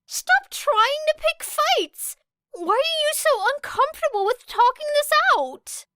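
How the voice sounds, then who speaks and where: A woman's voice: very high-pitched, very animated, measured pace, bright, forceful tone, no room sound, pitched above the performer's own voice, full character voice. A woman voicing an anxious, earnest teenage boy with a flustered, pleading manner in an audiobook. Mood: indignant, flustered. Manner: stammering, indignant, pleading. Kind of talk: audiobook character voice.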